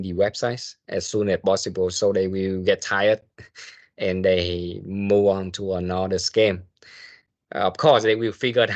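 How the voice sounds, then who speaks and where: A man, speaking haltingly as he answers questions in an online meeting as a guest investigator. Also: deep voice. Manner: halting, hesitant phrasing.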